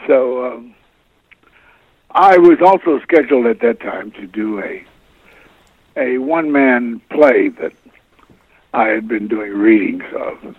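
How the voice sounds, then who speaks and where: Older male actor guest, gravelly voice, halting storyteller, heard over a phone line. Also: medium pitch, animated, no room sound. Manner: rambling, halting, many fillers and restarts. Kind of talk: relaxed interview storytelling.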